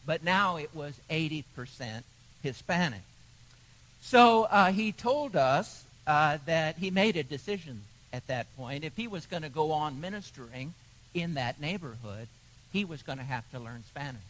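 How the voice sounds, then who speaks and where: A man preaching a sermon, telling stories in a measured way with pauses. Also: on the high side, lively intonation, fairly bright tone, poor audio. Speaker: pastor.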